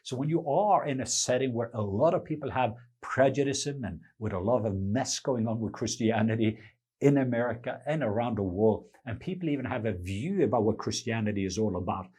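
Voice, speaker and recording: A middle-aged man with a Norwegian accent, a non-native English speaker, teaching on his podcast in an earnest, deliberate way with slightly non-native phrasing. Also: mid-range voice, lively intonation, darkish tone, slightly rough. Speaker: preacher and podcast host. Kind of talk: unscripted teaching monologue.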